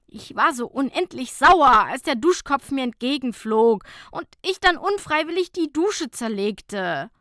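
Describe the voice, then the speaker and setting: A woman's voice: high-pitched, expressive, a little slow, few pauses, bright, forceful tone, dry recording, voice pushed up, exaggerated voice. A woman voicing a flustered, giggly and impulsive young woman in a humorous audiobook, stammering and exclaiming. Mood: exasperated, sighing. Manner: stammering, exclaiming, laughter-laced. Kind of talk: humorous audiobook character dialogue.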